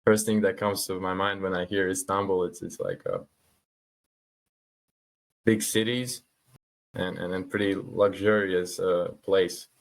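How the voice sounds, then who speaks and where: Man, lowish pitch, flat delivery, casual podcast chat, hesitant repetitions. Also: unhurried, vocal fry, long pauses. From Latvia. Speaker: podcast participant.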